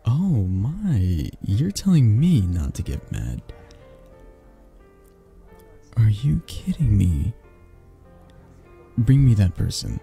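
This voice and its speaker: A man playing a possessive, flirtatious mafia boss in a romantic audio roleplay, husky and gravelly, speaking with intimate condescension and long pauses. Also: on the low side, sing-song, warm tone, full character voice. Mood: possessive, angry. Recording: music behind the voice. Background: background music.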